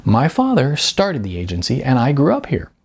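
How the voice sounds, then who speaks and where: Man voicing a brash, animated woman agent. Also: medium pitch, moderate pace, breathy edge, heavily acted. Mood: chatty, reminiscing. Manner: breezy, wisecracking, emphatic. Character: brash chatty middle-aged female talent agent, blunt and flirty.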